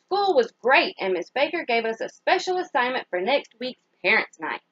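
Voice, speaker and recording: An eager, cheerful little schoolgirl from a storybook, as a woman reader plays her, high and lively, earnest with her family. The sound is slightly dull. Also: normal speed, no echo, heavily acted.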